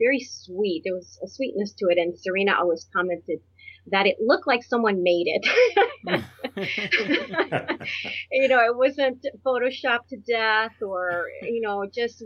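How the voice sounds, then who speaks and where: A woman's voice: medium pitch, no echo, highs cut off. A middle-aged woman from Puerto Rico, a guest on an interview show, tells her story in an earnest, reflective way and laughs easily. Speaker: guest, author and publisher.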